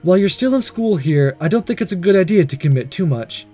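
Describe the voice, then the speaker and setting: A man voicing a gentle, measured woman teacher and principal in a visual novel, kind and careful in manner. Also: high voice, animated, faint music behind. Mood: kind, serious. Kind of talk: reading visual novel dialogue aloud.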